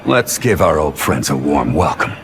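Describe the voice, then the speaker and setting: An actor playing a steady, grave warship captain in a video game, measured, terse and commanding. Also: male voice, very deep voice, full character voice. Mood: resolute. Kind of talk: video game cinematic acting. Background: music.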